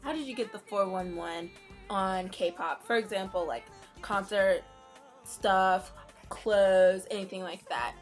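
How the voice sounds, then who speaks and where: A young woman co-hosting a casual fan-channel video, answering questions in a relaxed, giggly way. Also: medium pitch, takes it slowly, faint music behind. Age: young adult. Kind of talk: casual Q&A chat.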